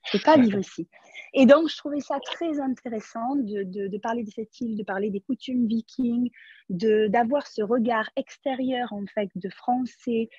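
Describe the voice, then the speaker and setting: Husky-voiced woman on a dull webinar line. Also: on the high side, moderate pace, warm tone, no echo. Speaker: novelist guest. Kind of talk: explaining a book in a webinar.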